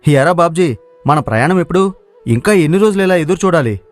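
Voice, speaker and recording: A cocky young idler from a village tale, played by a man, talks fast and fairly high. He is brash and impatient, a lazy dreamer. Music runs underneath. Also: expressive, natural voice. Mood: eager. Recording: music playing behind the voice.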